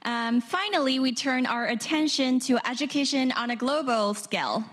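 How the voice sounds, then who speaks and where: A woman hosting an event, reading a formal speaker introduction in a clear, scripted delivery. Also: a little high. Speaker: event host.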